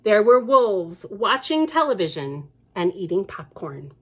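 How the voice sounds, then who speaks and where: A woman, a children's librarian, reading a picture book aloud at storytime, expressive and breaking off for chatty asides to the children. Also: medium pitch, measured pace.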